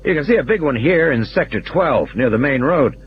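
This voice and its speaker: A man's voice: a little high, expressive, fairly quick, lo-fi sound, slight background noise, voice pushed up, lightly acted. A man voicing a matter-of-fact male control room engineer who explains technical things with assurance, in audio play dialogue. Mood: explaining.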